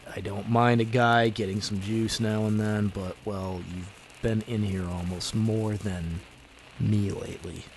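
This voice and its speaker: An actor playing a young speakeasy proprietor with a secret vigilante life in an old time radio drama, speaking in a hesitant, conversational way. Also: male voice, on the low side, little pitch movement, a little slow, a little hiss or hum, natural voice, low-quality recording. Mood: uneasy, concerned. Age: young adult.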